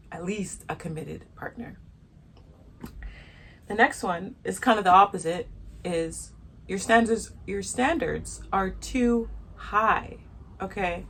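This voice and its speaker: Soft-voiced woman chatting frankly to camera. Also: medium pitch, slight background noise. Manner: chatty, frank, repeats points for emphasis. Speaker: vlogger. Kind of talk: casual opinion vlog to camera. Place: outdoors in the sun.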